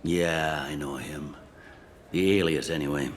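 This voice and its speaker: A jaded police captain from a video game. He speaks low, in a blunt and wry way. There is faint noise in the background. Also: steady tone, unhurried.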